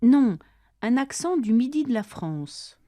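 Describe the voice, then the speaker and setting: Woman reading lesson dialogue real slow, warm mellow tone, dry close sound, careful. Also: fairly high pitch, big pitch swings, no room sound. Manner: careful, deliberate delivery for learners. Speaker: language-lesson dialogue reader. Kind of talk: slow scripted dialogue, each line read twice.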